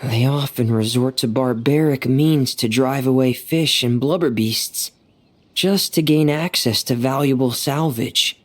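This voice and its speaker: A woman playing a shy, gentle, earnest young diver in an anime game, speaking softly and hesitantly. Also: very deep voice, unhurried. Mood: troubled, earnest. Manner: hesitant, soft-spoken, earnest.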